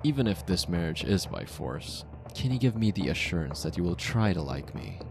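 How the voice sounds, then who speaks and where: Man acting, mafia boss, very low pitch, possessive, smug, commanding, deliberate, devoted lover, ASMR roleplay, clear background music. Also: sing-song, full character voice. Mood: demanding, intent.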